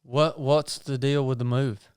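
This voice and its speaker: A man's voice: mid-range voice, fairly even intonation, a little slow, darkish tone, clear, resonant tone, dry recording, clean studio sound. A man hosting a podcast, talking in an earnest, folksy, casual way and often tagging his sentences with "man". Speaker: podcast host. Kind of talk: casual interview chat.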